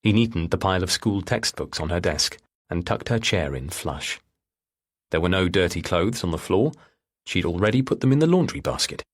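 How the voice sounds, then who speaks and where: A man narrating a thriller novel with a husky voice and measured, deliberate phrasing. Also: sits low, lively intonation. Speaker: audiobook narrator.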